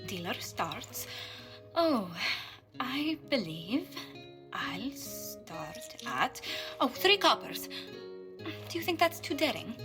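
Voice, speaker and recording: A refined fantasy-game lady ambassador, playful and coy. She speaks very slowly with lilting, animated pitch and formal politeness. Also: over music. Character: genteel, playful lady ambassador and card player. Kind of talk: video game cutscene acting.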